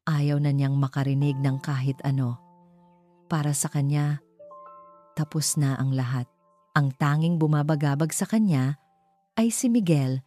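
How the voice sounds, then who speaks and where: Female Filipino narrator for dramatic love stories, low mellow voice over faint music. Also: steady tone, measured pace, pauses often. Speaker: storyteller, narrator. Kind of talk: dramatic scripted narration of a romance story.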